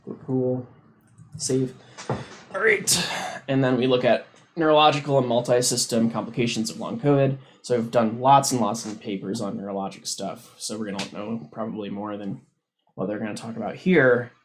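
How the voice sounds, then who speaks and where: A man hosting a science livestream, casually explaining a research paper as he reads, in a halting, informal way. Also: medium pitch. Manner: halting, informal, thinking aloud. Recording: noticeable background noise on livestream.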